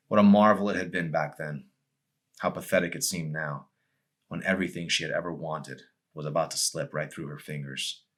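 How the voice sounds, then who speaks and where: A man, an author presenting and reading his own novel, with dramatic, deliberate phrasing. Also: low voice, fairly bright tone. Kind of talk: audiobook-style narration with casual introduction.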